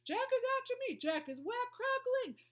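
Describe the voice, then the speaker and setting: Lady doing a big brute of a giant from a kids book, screeching high and loud, begging, scared stiff. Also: big pitch swings, normal speed, heavily acted. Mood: terrified, screaming. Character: huge brutish male man-eating giant, screaming in terror. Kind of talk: storybook character voice.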